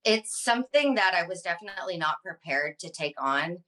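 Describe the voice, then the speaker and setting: Woman, 30s, interview guest: low, bright voice, passionate, filler-heavy delivery. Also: expressive, a little fast. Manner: passionate, fluent, many ums. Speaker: guest, social media advocate. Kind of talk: earnest interview answers.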